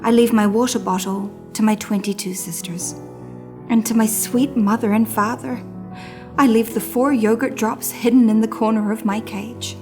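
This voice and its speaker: Woman as melodramatic little boy hamster, creaky-voiced. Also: a little high, steady tone, normal speed, music in the background, close to an everyday voice. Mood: doomed, weary. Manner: melodramatic storytelling with hushed suspense and emphatic exclamations. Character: dramatic, anxious little male pet hamster telling his diary. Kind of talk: children's storybook reading.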